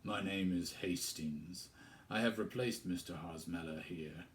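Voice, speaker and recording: A man voicing a deep, mournful vicar. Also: slight background noise. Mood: guarded. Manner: measured, formal, guarded. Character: tall forbidding male vicar, deep mournful voice.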